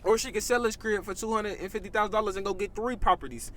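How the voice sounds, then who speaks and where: A man's voice: high-pitched, fast talker, fairly bright tone, slight background noise. An eighteen-year-old man, talking animatedly in a casual podcast chat, tagging lines with "bro" and "you know what I mean".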